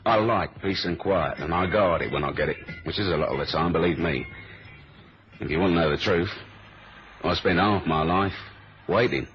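An actor playing a tough, laconic secret agent in a 1970s radio drama, with slangy, matter-of-fact lines and cool self-assurance. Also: male voice, deep voice, normal speed, faint music behind, natural voice. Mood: world-weary, dry. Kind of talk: 1970s radio drama acting and first-person narration. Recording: muffled 1970s radio broadcast recording.

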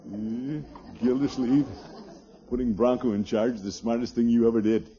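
An actor playing a pompous but good-natured middle-aged American uncle in a blustery 1950s radio comedy style. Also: male voice, medium pitch, fairly even intonation, moderate pace, dark tone, close to an everyday voice. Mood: self-satisfied. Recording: muffled, noisy 1950s radio recording.

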